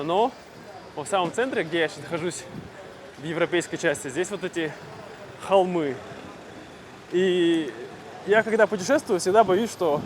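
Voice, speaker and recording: A man from Russia vlogging casually to camera, speaking simply and clearly for language learners with easy fillers. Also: high voice, a little hiss or hum. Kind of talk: casual vlog talk to camera.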